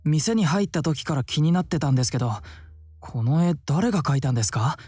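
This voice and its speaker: A man's voice: fairly high pitch, lively intonation, very fast, a little mellow, slightly rough, no echo, faint music behind. An actor playing a timid, polite young man in a Japanese visual novel, speaking hesitantly and earnestly. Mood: curious.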